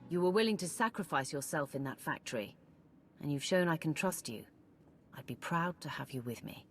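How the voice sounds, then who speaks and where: An actress playing a principled female warrior commander, calm and measured. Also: sits low. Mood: steady.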